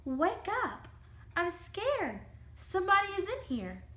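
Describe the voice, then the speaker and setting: A timid little male lamb from a storybook, acted by a woman. The voice is high and earnest, with echo from the room around it. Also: wildly swooping pitch, takes it slowly, pushed and bright, exaggerated voice. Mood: scared. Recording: roomy, echoing room sound.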